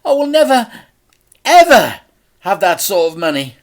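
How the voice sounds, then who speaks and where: A man's voice: high-pitched, sing-song, a little slow, voice pushed up. A man voicing a desperate, protective father in a children's story, pleading in a strained, stammering way. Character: desperate, pleading dad, protective of his son.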